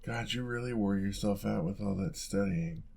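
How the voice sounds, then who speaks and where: A young man playing an affectionate, playful roommate in an intimate audio roleplay, talking in a soft, casual, teasing way. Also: lowish voice, normal speed, creaky, husky. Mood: gentle, caring.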